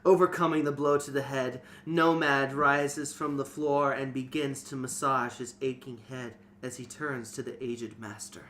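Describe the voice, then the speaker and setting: A man's voice: mid-range voice, steady tone, unhurried, few pauses, a bit bright, a little hiss or hum. A man co-hosting a reading show, narrating a fantasy story and discussing it casually. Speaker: narrator and co-host.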